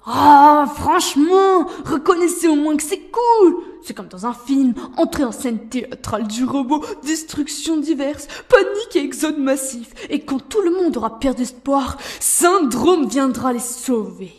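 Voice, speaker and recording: A woman voicing a cocky, resentful would-be superhero turned villain in a French animated fandub, smug and theatrical. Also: sits high, a little slow, hardly any pauses. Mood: smug, excited.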